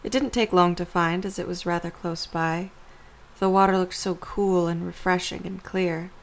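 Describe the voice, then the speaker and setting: Female storyteller, slightly low voice, scripted third-person narration. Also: fairly even intonation, moderate pace, vocal fry.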